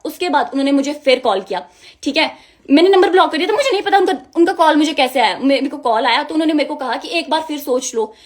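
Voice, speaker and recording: Young woman. High pitch, rapid delivery, indignant and emphatic first-person account. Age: young adult. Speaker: music video model. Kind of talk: personal account told to viewers.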